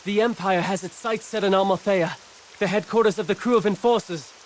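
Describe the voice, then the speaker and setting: Fantasy game role: alarmed courier voiced by a man, high register, urgent delivery, slight background noise. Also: fairly quick, lightly acted. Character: urgent, flustered male messenger bringing alarming news. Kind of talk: anime fantasy game voice acting. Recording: faint hiss behind the voice.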